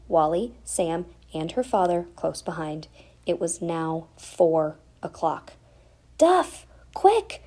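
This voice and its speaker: Creaky, mellow woman reading a picture book. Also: lowish voice. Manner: expressive, deliberate storytelling. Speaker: read-aloud storyteller. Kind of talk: children's picture book narration.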